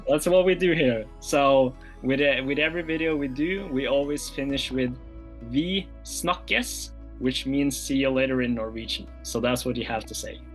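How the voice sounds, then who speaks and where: A mid-pitched male host wrapping up a podcast on a video call. He talks in an upbeat, loose way, with plenty of "you know" fillers. Faint music sits behind his voice. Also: moderate pace, low-quality recording. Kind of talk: podcast interview.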